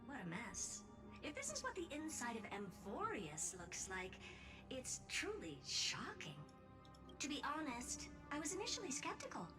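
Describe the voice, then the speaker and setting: A breezy, haughty lady genius, rather high and lively in pitch. She is blunt and condescending in game dialogue, with music underneath. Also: normal speed. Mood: musing, unimpressed. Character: haughty, self-assured genius woman scientist, breezy and blunt. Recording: music behind the voice.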